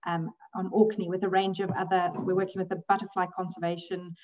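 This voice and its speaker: A woman's voice: on the low side, little pitch movement, quick pace, a little mellow, slight background noise. Distant, muffled woman. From grew up in South Africa. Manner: hesitant, many ums, laughs easily. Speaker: guest, bat conservationist. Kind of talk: casual interview chat.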